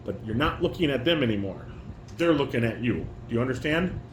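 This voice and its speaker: A man's voice: mid-range voice, lively intonation, fairly quick. A man directing a family table read, reading stage directions and giving informal, corrective instructions. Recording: echoey room with clear background noise.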